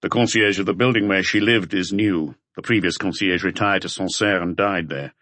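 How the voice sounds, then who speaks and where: Maigret, a measured low-voiced detective played by a man. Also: bright, forceful tone, no echo. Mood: measured, hesitant. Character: Maigret, patient, serious middle-aged male police detective.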